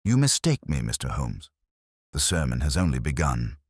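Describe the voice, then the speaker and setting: Man reading as cold, deep-voiced vengeful false priest. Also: very animated, slightly rough, no room sound, clean studio sound, heavily acted. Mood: coldly mocking. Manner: deliberate, preachy, ominous. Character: coldly lucid, vengeful male villain posing as priest.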